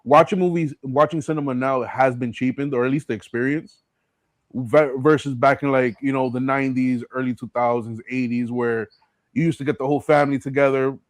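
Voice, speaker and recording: A man's voice: mid-range voice, steady tone, brisk, no room sound. A middle-aged American man hosting a podcast in casual, slangy, streetwise conversation. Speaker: podcast host. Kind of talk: casual chat.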